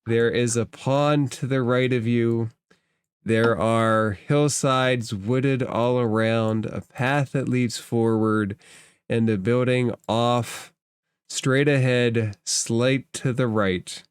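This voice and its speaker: A man's voice: mid-range voice, fairly even intonation, slow pace, smooth, no room sound, clean studio sound. A man acting as game master, narrating a fantasy roleplaying game in formal phrases and putting questions to the players.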